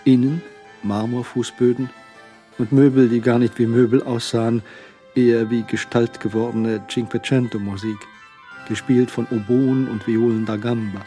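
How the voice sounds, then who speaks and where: An actor narrating a radio crime drama in a cool, sly, scripted manner. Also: male voice, mid-range voice, little pitch movement, moderate pace, mellow, natural voice. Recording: studio radio play with music.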